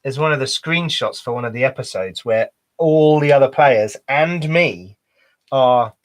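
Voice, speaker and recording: A man co-hosting a live tabletop game discussion show, talking with eager enthusiasm, laughing often and quick to agree. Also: mid-range voice. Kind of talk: casual live-stream chat.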